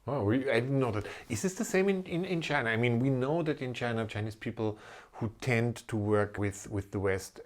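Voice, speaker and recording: A man hosting a podcast conversationally, a little halting and sometimes repeating his words. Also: mid-range voice. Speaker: podcast host, corporate lawyer.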